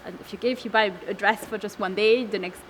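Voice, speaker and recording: A woman's voice: medium pitch, steady tone, fast, a bit bright. A woman in her twenties from Lebanon and France, founder of a food waste network, answering interview questions casually with many fillers and restarts. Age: 20s. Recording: noisy background.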